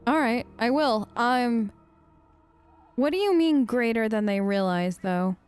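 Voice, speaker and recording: High-voiced woman role-playing a game. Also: measured pace, clear, resonant tone, faint music behind. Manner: casual, chatty, exasperated. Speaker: let's play host. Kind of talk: role-play gaming commentary.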